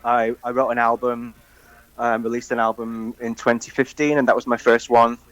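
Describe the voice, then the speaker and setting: A man from northwest England, a musician guest on a phone-in radio interview, talking hesitantly and softly with many ums. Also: medium pitch, little pitch movement, moderate pace, low-quality recording.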